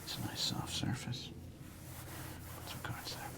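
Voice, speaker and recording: A man doing whispered ASMR, murmuring casually to himself in an unhurried way. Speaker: ASMR host.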